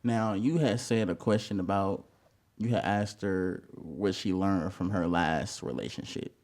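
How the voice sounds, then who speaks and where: Laid-back man hosting with low voice. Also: unhurried, background noise. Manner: laid-back, slangy questions. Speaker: host. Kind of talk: casual interview.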